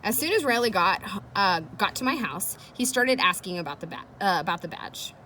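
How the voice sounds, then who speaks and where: A woman's voice: medium pitch, animated, a little fast, pushed and bright, a little hiss or hum. A woman reading a children's chapter book aloud, speaking directly to young listeners in a chatty way. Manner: engaging young listeners with questions and commentary. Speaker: read-aloud host.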